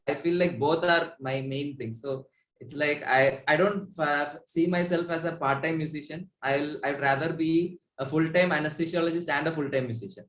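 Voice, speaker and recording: A man's voice: on the high side, roomy sound. A young man with an Indian accent chatting casually as an interviewed musician, in a rambling way peppered with "like". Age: young adult. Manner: rambling, frequent "like".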